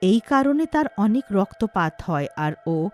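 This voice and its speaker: Animated woman narrating a moral story. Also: medium pitch, unhurried, faint music behind.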